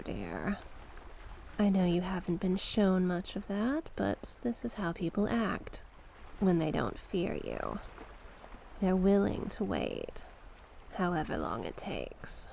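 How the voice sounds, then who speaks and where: A woman voicing a seductive, manipulative sea witch in an ASMR roleplay, doting yet sinister, with a hushed, breathy, coaxing delivery. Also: mid-range voice, exaggerated voice. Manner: slow, coaxing, affectionate endearments. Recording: noticeable background noise and ambience.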